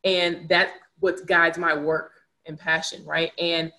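Earnest woman with a low voice. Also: little pitch movement, takes it slowly, pushed and bright. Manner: earnest, reflective, repeats words for emphasis. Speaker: podcast guest. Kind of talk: candid conversational storytelling.

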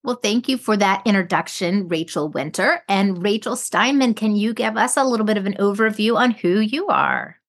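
A woman's voice: mid-range voice, big pitch swings, normal speed, few pauses, no echo, studio quality. A woman hosting a podcast, speaking in a scripted presenter style and interviewing guests. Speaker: podcast host and psychologist.

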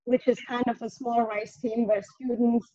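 A woman's voice: on the high side, little pitch movement, normal speed, dark tone, dry recording. A young woman with a German accent, answering interview questions in a hesitant way with many restarts. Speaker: guest engineer. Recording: dull lo-fi audio.

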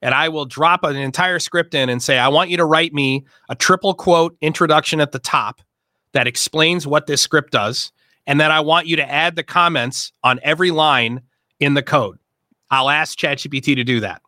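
A man hosts a casual discussion, explaining at length in rapid, emphatic bursts. His voice is a little high and bright, and it sounds dry and close to the mic. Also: dry recording. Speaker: host and workshop leader.

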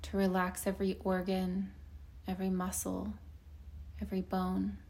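A woman guides a meditation in a very mellow, warm voice. Her pitch barely moves, and she speaks slowly and soothingly with long pauses. Her phrases soften into breath as they end. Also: monotone, creaky. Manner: slow, soothing, unhurried delivery with long pauses.

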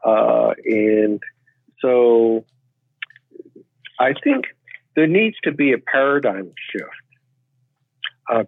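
Measured man on a tinny phone line. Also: mid-range voice, gravelly, slightly rough, no echo. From Canada. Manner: measured, hesitant with many uh's. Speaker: podcast guest, immigration expert. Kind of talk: interview answers.

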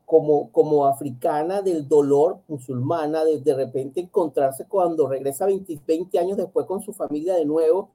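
A man's voice: on the high side, moderate pace, few pauses, warm tone. A man, a psychologist, talking reflectively in an interview, often restarting and repeating words. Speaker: psychologist guest. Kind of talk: interview conversation.

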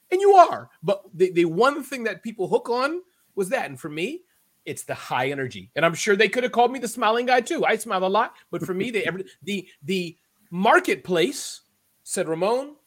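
A high-pitched man talks with big, lively swoops in his voice. He is energetic and loud, projecting like he is filling a room. He keeps the chat playful with jokey asides. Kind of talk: casual conversation.

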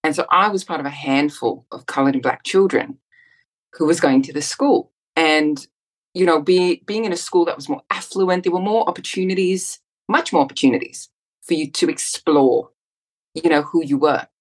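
A woman, a podcast guest telling her life story in a reflective, lively way. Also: sits low, normal speed. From South Africa. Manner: reflective, animated when retelling scenes. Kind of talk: casual interview storytelling.

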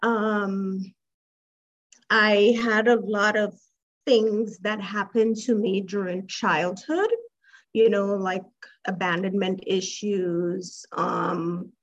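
A woman from Trinidad and Tobago, a guest recounting her childhood in an interview. She speaks slowly and reflectively, pausing with soft hesitations, and her mid-pitched voice comes through somewhat muffled, lo-fi call audio. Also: level tone. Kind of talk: personal life story in an interview.

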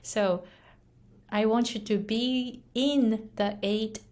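Unhurried Japanese-accented woman coach. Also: on the high side, fairly even intonation, a little mellow. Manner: earnest, chatty, frequent "right?" tags and light laughs. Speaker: English pronunciation coach. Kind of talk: casual motivational talk to camera.

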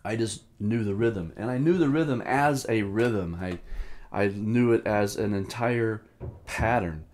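Male drum teacher, 50s, lowish voice, informal and reflective, leans on repeated phrases for stress. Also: measured pace, a little mellow. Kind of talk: informal lesson with personal reminiscence.

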